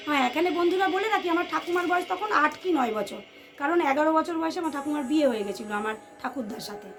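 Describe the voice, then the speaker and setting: A woman tells a ghost story to listeners in an expressive, suspenseful way, repeating phrases for effect. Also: high-pitched, fast. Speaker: storyteller, channel host. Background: background music.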